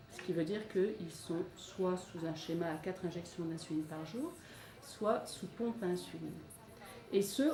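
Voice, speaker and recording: Lower-pitched woman in an echoey room. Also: a little mellow. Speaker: hospital programme presenter. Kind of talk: informational presentation, explaining. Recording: roomy, distant mic, faint noise.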